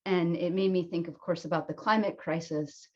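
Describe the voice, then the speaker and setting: A woman's voice: fairly low pitch, fairly even intonation, moderate pace, darkish tone, muffled recording. A woman poet speaking informally and earnestly, gracious in tone, as she introduces her reading as a guest.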